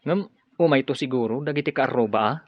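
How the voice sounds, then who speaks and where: A man's voice: medium pitch, fast talker, natural voice. A man acting a friendly, hopeful worker in a radio drama. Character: friendly, hopeful male worker chatting with his mate. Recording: muffled radio drama with music behind. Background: music.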